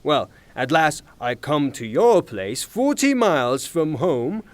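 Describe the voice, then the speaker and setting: An actor playing a blustering, irascible retired officer and landowner in a theatrical audio drama, with formal manners and emphatic, exasperated delivery. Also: male voice, on the high side, big pitch swings, slow pace, no echo. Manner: emphatic, formal address breaking into exasperated outbursts.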